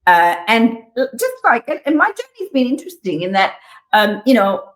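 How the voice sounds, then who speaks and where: A woman's voice: on the high side, big pitch swings, normal speed. A middle-aged woman from Sri Lanka, talking as a guest over a video call from her office, chatty with frequent um fillers and laughs. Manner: warm, chatty, laughs often, many um fillers. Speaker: guest, community organisation CEO. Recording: echoey room sound.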